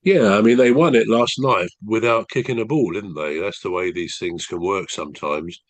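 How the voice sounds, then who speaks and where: Deep-voiced Englishman with a blocked nose. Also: no echo. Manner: measured, thoughtful, hedging. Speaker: podcast co-host, former referee. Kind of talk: casual podcast chat.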